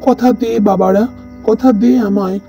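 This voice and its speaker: Mellow-voiced ageing farmer father played by an actor. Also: medium pitch, fairly even intonation, unhurried, creaky, faint music behind. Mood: weak, pleading. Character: ageing farmer father, ailing and pleading with his sons. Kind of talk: animated moral story voice acting.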